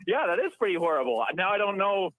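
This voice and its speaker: A man's voice: high-pitched, big pitch swings, fast talker, fairly bright tone, no echo. A man calling in to a radio talk show, talking in a chatty, rambling way and laughing often. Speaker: caller. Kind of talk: phone-in conversation. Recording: tinny dry phone line.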